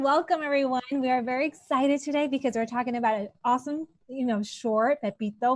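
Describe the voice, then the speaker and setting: A woman's voice: fairly high pitch, darkish tone, no echo. A woman in her late twenties hosting a casual interview, bubbly, laughing often and talking with lots of fillers. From Colombian and Mexican heritage. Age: 20s. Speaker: host.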